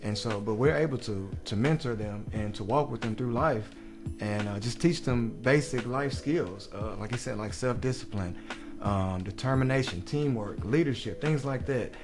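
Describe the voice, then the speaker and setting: A man speaking as a guest on a radio interview. He leads a mentoring group and explains its goals in a measured way, with many fillers and restarts. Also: mid-range voice, music in the background.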